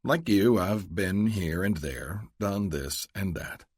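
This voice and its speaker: A man's voice: low-pitched, lively intonation, slow, a little mellow, husky, exaggerated voice. A man voicing a worldly, guarded Western gunman with an Ozarks accent and wry understatement. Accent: Missouri Ozarks.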